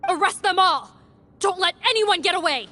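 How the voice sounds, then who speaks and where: A woman's voice: very high-pitched, moderate pace, background noise. An actress playing a stern female captain who gives orders and speaks with authority in a video game. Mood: commanding.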